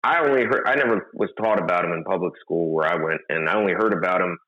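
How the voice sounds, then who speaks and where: A man's voice: deep voice, quick pace, lo-fi sound. A man from Maryland, a documentary filmmaker, talking as an interview guest with many fillers and restarts. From Maryland, USA. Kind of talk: conversational interview.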